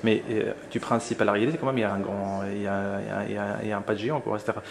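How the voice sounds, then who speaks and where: A man's voice: on the low side, flat delivery, racing, vocal fry. A man interviewing a conference attendee, probing with follow-up questions and pushing back. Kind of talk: spontaneous debate interview. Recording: crowd noise behind.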